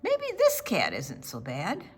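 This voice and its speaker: A woman's voice: low-pitched, huge pitch swings, slightly rough, pitched below the performer's own voice, full character voice. Older lady doing a grumpy fussy man from a kids book, curt, emphatic, drags his words out. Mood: grudgingly softening. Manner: emphatic, curt, drawn-out refusals. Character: grumpy, tidy man who dislikes animals.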